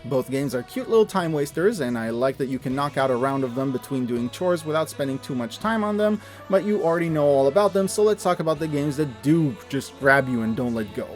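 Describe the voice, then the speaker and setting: A man's voice: mid-range voice, animated, a little fast, few pauses, soft background music. A man hosting a review video, narrating scripted recommendations in a casual, enthusiastic, emphatic way with mild swearing.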